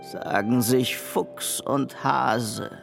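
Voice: medium pitch, steady tone, gravelly, over music, heavily acted. An actor playing a confiding storyteller who guides children through an eerie sound archive in a radio play. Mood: confiding, mysterious. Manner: slow, confiding, addressing children.

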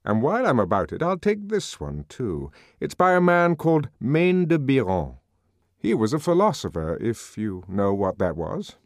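A man's voice: mid-range voice, wildly swooping pitch, normal speed, no room sound, pitched above the performer's own voice. A man voicing an urbane, wry male controller in an audiobook, lecturing genially with dry, teasing authority.

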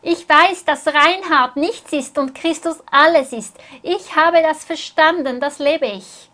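A woman's voice: high-pitched, measured pace, bright, forceful tone. A woman interpreting a sermon phrase by phrase, speaking earnestly and closely following the preacher.

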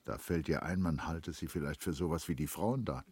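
A man narrating a literary radio drama in a measured, sombre manner. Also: very deep voice, a little mellow, husky.

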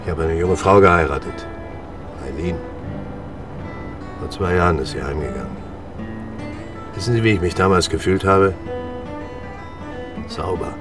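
Deep-voiced old detective. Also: male voice, unhurried, long pauses, recorded from a distance, music in the background. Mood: solemn, reflective. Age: 70s. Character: 76-year-old retired detective, proud idealistic war veteran. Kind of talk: German radio drama acting.

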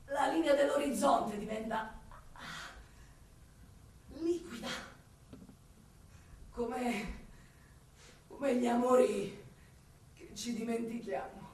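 A roomy, distant recording carries a high-pitched woman in a theatrical radio monologue. She is an impassioned city dweller, torn between love and exasperation. She declaims, stretching and repeating her words for dramatic weight. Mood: feverish, ominous. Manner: declamatory, with drawn-out words and repetitions. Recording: roomy echo, distant from the mic.

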